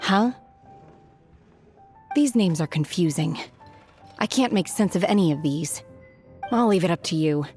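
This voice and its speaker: An aloof mountain adept speaks in a low, very slow and measured voice. She is calm, detached and literal-minded. Soft game music runs in the background. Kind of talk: game cutscene voice acting.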